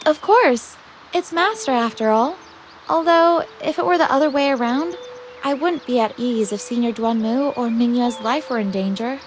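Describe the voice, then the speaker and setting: A woman's voice: on the high side, lively intonation, normal speed, a little hiss or hum, natural voice. A woman playing an earnest, trusting young female disciple in a fantasy game, speaking frankly and conversationally. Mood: calm, resolute. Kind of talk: dubbed fantasy game cutscene acting.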